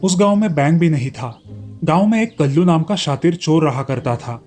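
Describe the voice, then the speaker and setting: A man's voice: fairly high pitch, lively intonation, moderate pace, a little mellow. A man narrating a scripted fairy tale as a storyteller. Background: light background music.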